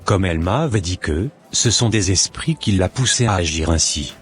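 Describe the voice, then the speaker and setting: Man as a deep-voiced, brooding wronged husband. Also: animated, moderate pace, faint music behind. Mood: hurt, brooding. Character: betrayed, wounded but loving husband, stern and brooding.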